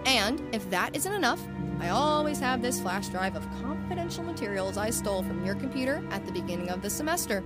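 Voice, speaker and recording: A woman's voice: fairly high pitch, big pitch swings, a little fast, hardly any pauses. Woman acting a confident young student podcaster, polite but pointed n scheming, audio drama w music behind. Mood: smug, threatening.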